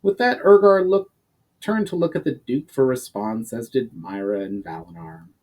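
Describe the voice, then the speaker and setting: A man's voice: mid-range voice, normal speed, a little mellow. A man narrating a fantasy story as a dramatic reading of his own book.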